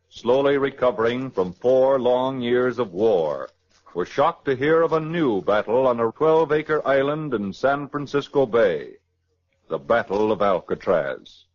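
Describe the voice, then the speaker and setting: A man's voice: mid-range voice, lively intonation, unhurried. A man narrating a scripted case in dramatic 1940s radio style. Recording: dull 1940s radio recording.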